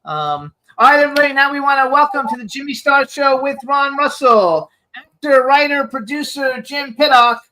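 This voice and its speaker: Bright, high-voiced chatty male host. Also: normal speed, poor audio. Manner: chatty, rambling, excitable. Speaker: talk show host. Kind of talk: live radio interview.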